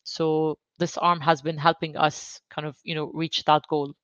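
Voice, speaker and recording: A woman from Jordan, a venture fund director, answering interview questions about her career in an even, level way. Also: sits low, measured pace, no echo, muffled recording.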